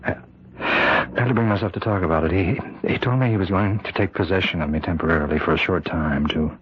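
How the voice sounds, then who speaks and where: An anguished prison warden from an old radio play. The voice is very low and husky, halting and repetitive, full of dread. The muffled recording sounds like vintage radio. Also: male voice. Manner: halting, repeating words, anguished. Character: tormented prison warden husband, fearful he is possessed. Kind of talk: old-time radio drama acting.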